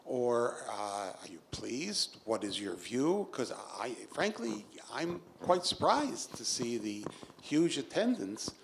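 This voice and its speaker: A man's voice: medium pitch, takes it slowly, vocal fry, slight background noise. A man in the audience asking a long, probing question of the speaker. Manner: lively, probing, rambling. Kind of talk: question from the floor.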